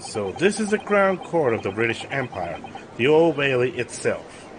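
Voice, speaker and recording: A man livestreaming a video game in a casual, halting way, his voice raspy and a little stuffed up from recent voice trouble. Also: medium pitch, very animated, background noise. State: recovering from a stuffy nose and voice trouble. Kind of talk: casual livestream let's play, reading game dialogue aloud.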